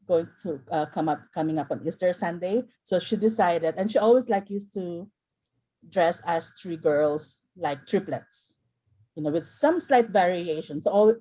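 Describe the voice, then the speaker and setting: Woman on a video call, kinda low mellow voice, lots of ums and restarts, rambly. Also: low-quality recording. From Philippines. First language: Filipino. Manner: rambling, many fillers and restarts, laughs often. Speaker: workshop participant. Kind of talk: casual introduction in a group video call.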